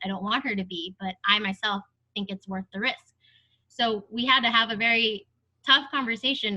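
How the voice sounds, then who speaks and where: Bright-voiced young woman panelist. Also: mid-range voice, poor audio. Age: young adult. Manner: thoughtful, earnest, frequent "um" and "like". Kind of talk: panel discussion.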